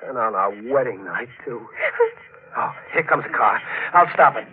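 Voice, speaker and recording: A man's voice: mid-range voice, lively intonation, moderate pace, close to an everyday voice. A man with an American accent playing an urgent, protective young bridegroom in a 1940s radio thriller, giving clipped commands and reassurances. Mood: tense, reassuring. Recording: tinny, noisy old radio broadcast.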